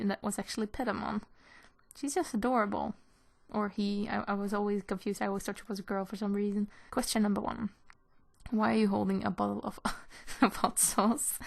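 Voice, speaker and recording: Creaky-voiced woman. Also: medium pitch, a little mellow. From Belgium. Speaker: animator answering viewers' questions. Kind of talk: casual question-and-answer chat. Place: in a room at home.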